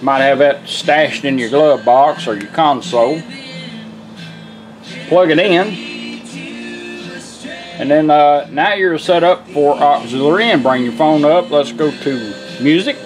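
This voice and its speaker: A man's voice: on the high side, lively intonation, slow pace, pauses often, over music, rough recording. A plainspoken, folksy man giving a casual hands-on product demonstration at a workshop bench. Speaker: product demonstrator, radio restorer.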